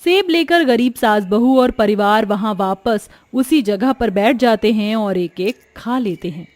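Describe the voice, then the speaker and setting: High-voiced woman narrating a cartoon fable. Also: animated, unhurried, a little mellow, no room sound. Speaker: story narrator. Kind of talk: scripted cartoon moral story narration.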